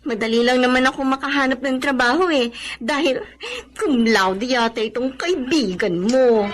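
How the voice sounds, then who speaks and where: Actress for easygoing young graduate in radio drama, high voice, chatty, noisy recording. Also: female voice, moderate pace, lightly acted. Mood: breezy. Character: breezy, carefree young female graduate, friendly hostess.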